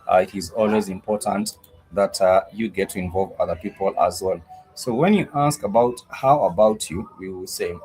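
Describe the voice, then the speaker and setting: A man's voice: low-pitched, normal speed, a little mellow, faint music behind, low-quality recording. A man teaching a live online language class, patiently repeating each phrase several times.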